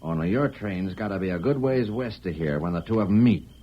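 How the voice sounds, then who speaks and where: Radio western heavy: a deep, husky, gruff frontier outlaw, coolly threatening, muffled old recording. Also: male voice, lively intonation, brisk. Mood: commanding. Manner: gruff, bullying, folksy frontier grammar. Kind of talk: 1940s radio western acting.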